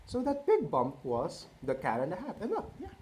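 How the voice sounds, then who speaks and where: A man in his twenties reading a storybook aloud to children, expressive, with chatty questions to his listeners. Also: high voice, normal speed, slight background noise. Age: 20s. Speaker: children's read-aloud host and early childhood educator.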